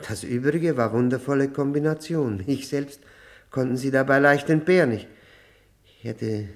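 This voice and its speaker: An actor in a German radio play playing a troubled, educated convicted man with a wry, halting, formal way of speaking. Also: mid-range voice, lightly acted. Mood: uneasy, deflecting.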